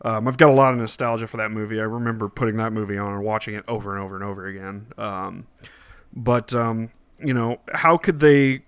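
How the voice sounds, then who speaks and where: A man's voice: fairly low pitch, fairly even intonation, fairly quick, clear, resonant tone, dry recording. A man co-hosting a podcast, chatting casually and informally in a somewhat hesitant, rambling way. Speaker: podcast co-host. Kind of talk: casual chat about films and games.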